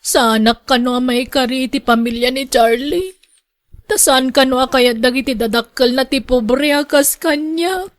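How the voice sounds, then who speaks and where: A woman acting as an outspoken young Ilocana daughter in a radio drama. Her voice is very high and recorded dry and close. She speaks with a Filipino Ilocano accent. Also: normal speed, dry recording, natural voice. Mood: hesitant.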